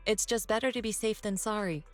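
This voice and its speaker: Woman voicing a female mentor, video game dialogue, calm, reassuring, measured, faint music. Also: medium pitch, natural voice. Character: calm, protective female martial arts mentor.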